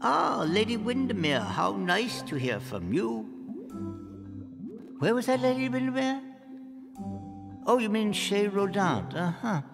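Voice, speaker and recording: Fussy, swooping, high-pitched eccentric tradesman. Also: very animated, measured pace, vocal fry, long pauses, in a large hall, music in the background, exaggerated voice. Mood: chirpy, polite. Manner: pompous, wordy, exclamatory. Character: fussy, excitable, eccentric male builder and tradesman. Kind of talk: comic audio drama acting.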